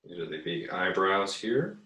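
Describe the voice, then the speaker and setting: Deep voiced guy doing a casual art lesson, kinda hesitant thinking out loud, echoey room and a bit far from the mic. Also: expressive, a little slow. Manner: easygoing, hesitant, thinking aloud while drawing. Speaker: art teacher giving an assignment demo. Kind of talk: casual screen-recorded drawing tutorial. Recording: roomy, echoey, distant mic.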